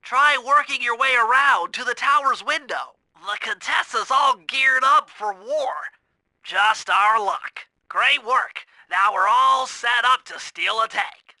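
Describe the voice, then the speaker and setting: Nerdy turtle from a cartoon video game, super high nasal voice, really sharp n bright, briefs the plan all earnest and exact. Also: male voice, takes it slowly, no echo, heavily acted, low-quality recording. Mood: brisk, instructing. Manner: precise, technical, eager mission briefing. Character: nerdy young male cartoon turtle, brainy heist planner, earnest. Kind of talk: animated video game voice acting.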